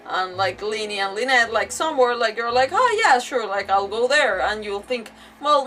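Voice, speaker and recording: A woman with a fairly high voice chats casually as she livestreams a game. She rambles expressively and breaks off her own sentences. She sounds a little far from the mic, with music playing behind her. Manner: rambling, expressive, self-interrupting.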